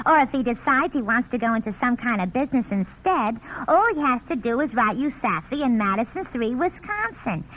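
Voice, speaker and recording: A woman's voice: high-pitched, a bit bright, low-quality recording. A woman playing a chatty, wisecracking American switchboard operator on the phone in a 1950s radio comedy, with a brassy, slangy, gossipy manner. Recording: old radio broadcast recording.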